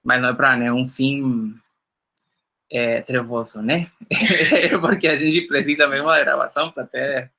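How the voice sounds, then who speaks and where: Nicaraguan man lecturing, bright voice. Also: mid-range voice, steady tone, measured pace, vocal fry. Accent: Nicaraguan Spanish. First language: Spanish. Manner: casual, joking, many fillers like "né" and "é". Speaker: graphic designer teaching a workshop. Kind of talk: online workshop lecture.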